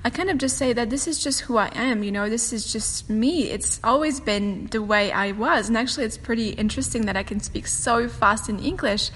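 A woman whose first language is French, hosting a casual solo podcast and talking quickly, with frequent laughter. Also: on the high side, a bit bright. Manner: fast-talking, chatty, laughs often. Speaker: podcast host and meditation teacher. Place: home room with a fireplace on a windy day. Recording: long room reverb, faint wind whoosh. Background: faint wind noise from the fireplace.

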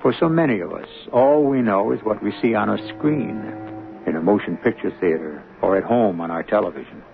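A narrow vintage radio sound with music behind carries a gravelly male host. He narrates in a theatrical, musing manner with lively inflection and deliberate rhetorical pauses. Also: lowish voice. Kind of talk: dramatic scripted radio narration. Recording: narrow old radio recording.

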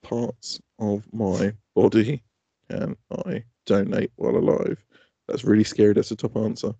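A man's voice: on the low side, level tone, a little slow, gravelly, mellow, slightly rough, no echo, highs cut off. A man in his thirties co-hosting a comedy podcast, blunt and sweary in casual joking chat. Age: 30s. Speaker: podcast co-host.

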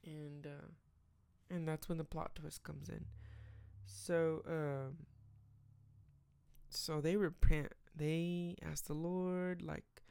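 Raspy, tired podcaster with gravelly fry. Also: medium pitch, little pitch movement, slow pace, long pauses, a little mellow. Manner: rambling, many fillers and restarts. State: tired, late at night. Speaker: podcast host. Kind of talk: casual faith podcast with prayer.

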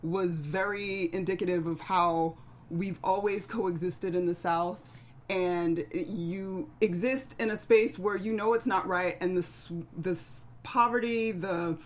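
A woman's voice: fairly low pitch, fairly even intonation, takes it slowly. A woman from the American South talking casually in a panel discussion, reflective and often searching for her words. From American South (Atlanta). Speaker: actor at a script table read.

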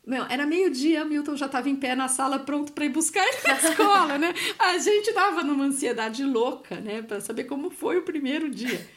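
Lively Brazilian woman telling a story. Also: high voice, normal speed, few pauses. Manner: animated, quotes others in lively voices, laughs often. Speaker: guest. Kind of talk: casual conversational storytelling. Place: Italy.

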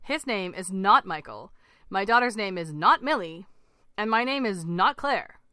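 A woman's voice: mid-range voice, expressive, unhurried, sharp tone, dry recording, studio quality. A woman voicing a protective, frightened young mother who is urgent and fierce with strangers. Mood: angry warning. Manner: urgent, warning, emphatic.

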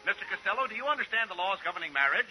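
A man's voice: high voice, lively intonation, rapid-fire, pushed and bright, full character voice. A man playing an officious, formal marriage license official in a 1940s radio comedy. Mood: brisk, officious. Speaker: supporting comic actor. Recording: slightly noisy old radio recording.

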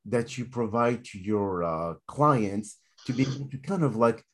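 A man's voice: medium pitch, animated, unhurried. A man hosting a podcast interview, affirming his guest and asking long, searching questions. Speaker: podcast host.

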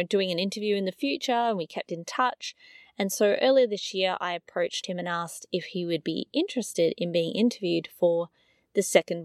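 A woman's voice: on the low side, no room sound, studio quality. Earnest woman podcast host. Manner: earnest, chatty with frequent fillers. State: losing her voice, slightly raspy and nasal. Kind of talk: podcast episode introduction.

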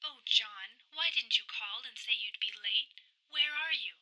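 A woman's voice: on the high side, measured pace, pushed and bright, rough recording. A woman playing an anxious, devoted wife in a radio drama, tender and pleading. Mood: worried.

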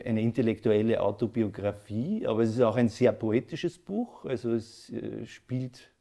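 A man hosting a live literary talk, speaking in a halting, searching way. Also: mid-range voice, mellow. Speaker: interview host. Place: living room of a former residence, indoors.

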